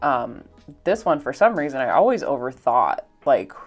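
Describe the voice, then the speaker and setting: A woman's voice: rumbling low, big pitch swings, moderate pace, faint music behind. A young woman with a congested, stuffed-up voice, chatting casually in a rambling way with many fillers and little laughs. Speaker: art vlogger. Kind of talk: casual voice-over while painting.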